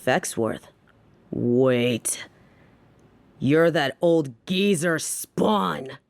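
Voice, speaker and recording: Actress as hard-edged lady gangster, measured and slow, formal but threatening, dry close recording. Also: low voice, expressive, creaky, dry recording, exaggerated voice. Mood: menacing. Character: tough, protective female mafia boss, formal yet foul-mouthed. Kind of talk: audio drama acting.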